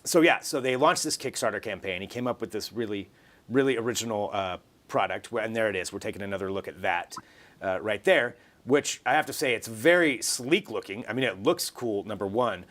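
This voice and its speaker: A man's voice: on the low side, expressive, fairly quick, fairly bright tone. A man hosting a live online show, presenting and interviewing in a chatty, conversational, unscripted way.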